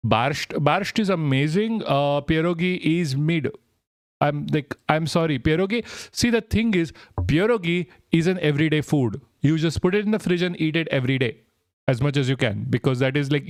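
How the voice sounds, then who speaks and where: A man's voice: a little high, dry recording, clean studio sound. A man live streaming, chatting casually and reading his chat, rambling and swearing freely as he jokes. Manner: rambling, profane, jokey, reads chat aloud.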